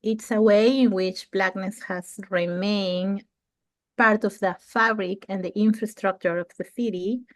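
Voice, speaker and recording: A woman's voice: medium pitch, steady tone, unhurried, no room sound. Peruvian-born woman, dry close mic. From Peru. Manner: thoughtful, laughs between phrases, clears throat. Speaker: guest researcher. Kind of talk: conversational interview answer.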